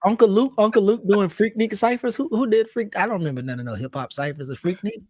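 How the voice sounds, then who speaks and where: A man hosts an informal talk in a slangy, conversational way. His voice sits somewhat higher than most men's, and the sound is dry, with hardly any echo. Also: fast talker, darkish tone. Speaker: host reading viewer comments. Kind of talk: casual chat, slangy.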